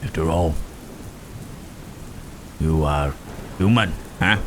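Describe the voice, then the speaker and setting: A man playing a gentle, melancholy Frankenstein's monster in an ASMR roleplay, speaking haltingly and thoughtfully in old-fashioned, formal phrases. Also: a bass voice. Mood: protective, cautious. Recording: rain and thunderstorm noise throughout. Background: rain and thunder.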